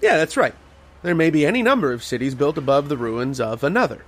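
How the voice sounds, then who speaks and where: An actor playing an earnest, haunted archaeologist telling his uncanny tale in old-time radio horror style, with dramatic, deliberate pauses. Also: a little high, lively intonation, a bit bright. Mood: matter-of-fact, explanatory. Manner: dramatic first-person storytelling with deliberate pauses.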